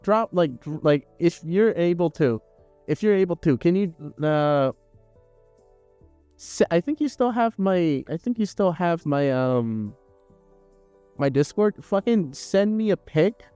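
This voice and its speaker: A young man livestreaming while he crafts, rambling through chat with frequent swearing and laughter. His voice sits slightly high but mellow in tone. The sound is dry and close, with faint music underneath. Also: animated, unhurried, smooth, no echo. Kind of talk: casual live stream chat while crafting.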